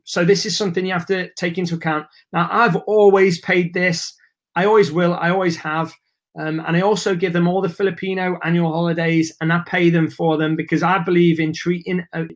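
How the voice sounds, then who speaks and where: A man hosting a live webinar, chatty and informal, losing his voice. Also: fairly high pitch. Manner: chatty, informal, reads and answers chat messages. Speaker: webinar host.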